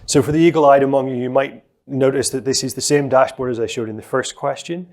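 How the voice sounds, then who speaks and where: Man presenting a software demo at a lectern. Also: medium pitch, a little fast. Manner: explanatory, steady, with frequent fillers. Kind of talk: conference talk with live demo. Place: conference stage at a lectern.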